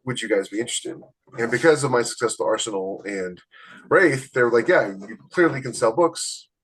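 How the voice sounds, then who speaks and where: A man's voice: medium pitch, animated, normal speed, fairly bright tone, slightly rough, rough recording. A man co-hosting a podcast, talking casually and anecdotally, acting out bits of remembered conversation as he goes. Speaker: podcast co-host and author.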